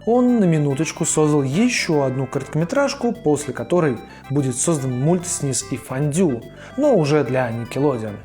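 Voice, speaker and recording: A man's voice: a little high, animated, normal speed, few pauses, music in the background. A man narrating an informal scripted video essay as its host, in a colloquial, opinionated manner. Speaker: host of a cartoon retrospective video.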